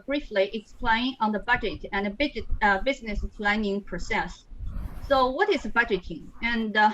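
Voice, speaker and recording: A woman's voice: on the high side, a bit bright, slight background noise, low-quality recording. A woman from China giving a class group presentation over a video call, reading prepared notes with frequent fillers. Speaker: student presenter.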